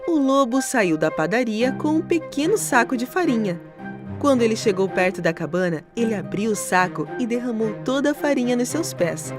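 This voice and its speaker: High, animated woman storyteller. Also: moderate pace. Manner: expressive, dramatic storytelling. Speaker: children's story narrator. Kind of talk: scripted storybook narration. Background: music.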